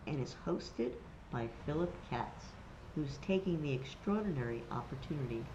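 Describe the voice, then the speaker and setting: A woman opens the show with a formal, measured, scripted welcome in a low voice. The sound is dull, with noticeable background noise. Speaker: announcer.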